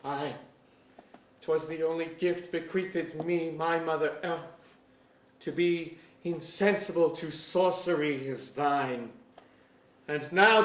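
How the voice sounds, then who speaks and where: An actor playing a defiant, lovesick young elf-blooded ruler in a staged reading of an archaic verse drama. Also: male voice, high voice. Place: museum auditorium, staged reading. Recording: echoey auditorium stage.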